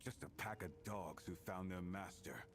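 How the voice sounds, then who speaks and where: An actor playing a gruff, sardonic, bossy male companion in a martial-arts video game, curt and mocking. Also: sits low, normal speed, background noise, close to an everyday voice. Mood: wary.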